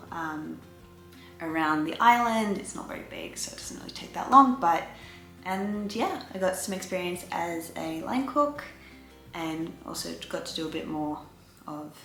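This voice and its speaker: Low-pitched young woman, roomy echo. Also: fairly bright tone. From Australia. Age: 20s. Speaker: vlogger giving a life update. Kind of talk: casual chat to viewers. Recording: roomy echo, faint music behind.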